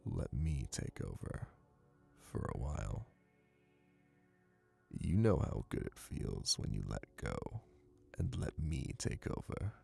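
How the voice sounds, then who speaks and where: A man plays a young hypnotist classmate with a low voice full of heavy vocal fry. He is confident and dominant, guiding in a soft, soothing ASMR manner. His delivery is slow and deliberate. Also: sing-song, soft background music, full character voice. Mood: soothing, commanding. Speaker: voice actor.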